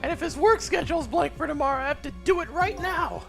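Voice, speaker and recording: A woman voicing a brash, excitable, defensive female detective in a mystery game. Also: high voice, quick pace, vocal fry, faint music behind. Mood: urgent. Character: brash, headstrong young female detective, highly strung.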